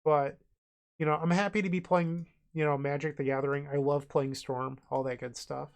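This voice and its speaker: A man's voice: on the high side, little pitch movement, normal speed, gravelly, clean tone. A man with a cold, sounding congested and nasal, casually narrating his online card game move by move as he thinks aloud. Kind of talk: casual gameplay commentary.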